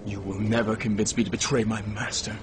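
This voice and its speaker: A man playing a loyal young dark apprentice in a video game, speaking in a terse, earnest way. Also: lowish voice, little pitch movement, brisk, heavy background noise, lightly acted. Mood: grim, resolute. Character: loyal, grim young male Sith apprentice. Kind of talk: cinematic video game acting.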